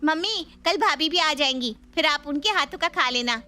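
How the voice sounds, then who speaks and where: A woman's voice: high-pitched, lively intonation, normal speed, bright, forceful tone, pitched above the performer's own voice. A woman voicing a teasing, chirpy young sister-in-law in a family story, playful and eager. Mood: cheerful.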